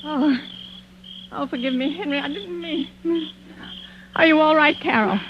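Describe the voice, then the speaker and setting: A woman playing a distraught, guilt-ridden older aunt in an old radio thriller, halting and sorrowful. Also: sits high. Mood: shaken. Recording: dull, noisy vintage radio recording.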